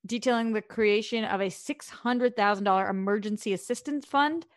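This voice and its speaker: A woman's voice: mid-range voice, normal speed, no echo, studio quality. A woman co-hosting an entertainment news podcast, chatting casually and reading quotes aloud with frequent fillers. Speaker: podcast co-host.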